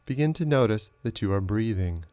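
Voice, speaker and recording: Low-voiced man guiding a meditation. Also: expressive, mellow, no echo. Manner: slow, measured, instructional delivery with long pauses. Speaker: meditation teacher. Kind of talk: guided meditation narration.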